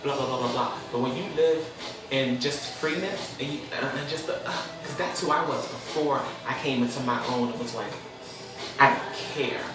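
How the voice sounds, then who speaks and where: A man's voice: moderate pace, far from the mic. Chatty young man in an echoey room. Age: 20s. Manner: expressive and chatty, with sudden high squeals. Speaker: host. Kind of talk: casual solo talk show monologue. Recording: echoey room, voice a bit off the mic.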